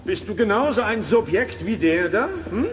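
An actor playing a strict, cranky eccentric who owns a spooky house, scolding and commanding in a children's radio play. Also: male voice, sits high, expressive, moderate pace. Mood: indignant. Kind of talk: German audio drama acting. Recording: long reverb, clearly noisy.

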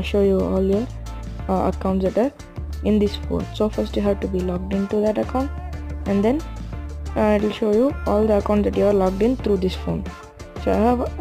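A young woman giving a casual phone tutorial in a hushed, low-key late-night voice. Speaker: gaming channel host.

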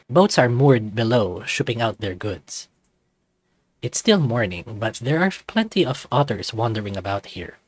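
Male narrator for a visual novel read-through, mid-pitched, animated delivery, dry close recording. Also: slightly rough, no echo. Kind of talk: expressive scripted narration, reading aloud.

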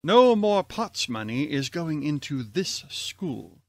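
High-pitched exasperated chairman played by a man. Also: big pitch swings, takes it slowly, no room sound, studio quality, heavily acted. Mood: brusque, impatient. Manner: impatient, brusque. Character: exasperated, tight-fisted college board chairman. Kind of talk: old-time radio comedy acting.

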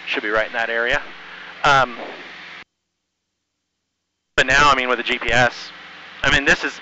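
A man's voice: mid-range voice, fairly even intonation, normal speed. An American man talking casually as he pilots a small plane, explaining things and making radio calls through a headset. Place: small propeller plane cockpit in flight. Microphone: aviation headset mic. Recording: muffled headset intercom, bright, aircraft engine noise.

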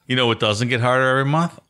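A man's voice: medium pitch, bright, forceful tone. A young man co-hosting a casual podcast, cracking dry jokes. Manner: dry, wisecracking. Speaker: podcast co-host.

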